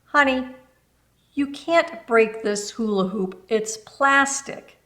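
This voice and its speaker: A woman's voice: on the high side, slow, a bit bright. A woman voicing a kind, encouraging older neighbor lady from a children's storybook, folksy and affectionate. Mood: enthusiastic, coaxing. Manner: encouraging, folksy, calls listener honey. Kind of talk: children's storybook read-aloud.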